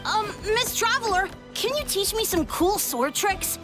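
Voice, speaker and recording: A woman voicing an excitable, admiring young child in a video game, with a childlike voice. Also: very high-pitched, measured pace. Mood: awed. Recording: music behind the voice.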